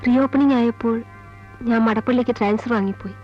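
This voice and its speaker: An actress playing a sorrowful mother and former college teacher, telling her past gravely in halting, measured phrases in a film drama. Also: on the high side, natural voice. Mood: grave, resigned. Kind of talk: Malayalam film drama, slow confessional narration. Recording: dull old film soundtrack, music behind. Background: film score music.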